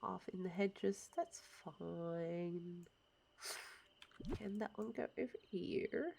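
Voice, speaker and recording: A woman's voice: on the low side, takes it slowly, creaky, a little mellow, slight background noise. Chatty woman streaming a game. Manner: chatty and opinionated, muttering to herself as she builds. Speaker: livestreamer. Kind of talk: casual chat while playing a building game.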